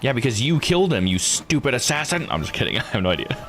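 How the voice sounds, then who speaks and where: A man's voice: mid-range voice, sing-song, fast talker. A man giving casual live game commentary, joking, slangy and thinking aloud. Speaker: video game streamer.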